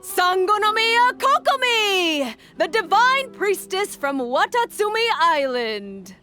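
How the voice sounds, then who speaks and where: Ceremonious game tournament announcer, bright projected voice, slow drawn-out delivery, upbeat. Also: female voice, extremely high pitch, sing-song, faint music behind, heavily acted. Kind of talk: formal event announcing in an anime game.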